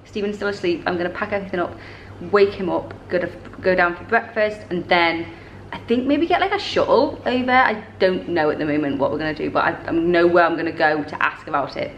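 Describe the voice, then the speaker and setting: A woman's voice: lowish voice, a little fast, few pauses, reverberant, muffled recording, a little hiss or hum. A woman, talking casually to a handheld camera in a travel vlog, chatty and rambling, often correcting herself mid-sentence. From northern England. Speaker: travel vlogger. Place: hotel room indoors and busy street outdoors. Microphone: camera held at arm's length.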